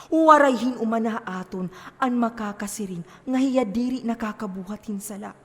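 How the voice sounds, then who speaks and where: Actress as preachy adviser, echoing hall. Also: female voice, fairly high pitch, normal speed, lightly acted. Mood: admonishing. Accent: Eastern Visayan Filipino. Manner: emphatic, lecturing. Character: preachy moral adviser, frank and commanding. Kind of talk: declamatory amateur stage play acting. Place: theatre stage in a hall. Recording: long echo of a hall.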